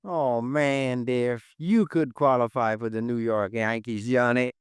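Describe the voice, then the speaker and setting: An actor playing an eager, rough-spoken male swamp guide with folksy, ungrammatical talk in a 1950s radio drama. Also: mid-range voice, steady tone, unhurried, dry recording, clean studio sound, lightly acted. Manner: folksy, ungrammatical, says "man" a lot.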